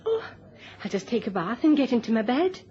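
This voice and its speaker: A woman's voice: fairly high pitch, very animated, a little fast, a little mellow. An actress playing a plain-spoken, trusting woman on holiday in a radio play, homely and direct. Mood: frank, accepting. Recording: muffled old radio recording.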